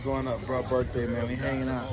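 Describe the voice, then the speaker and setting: A man's voice: mid-range voice, flat delivery, brisk, very noisy. A young man vlogging casually with friends at a bowling alley, teasing and laughing often. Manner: playful trash talk, laughing often. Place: large indoor bowling alley.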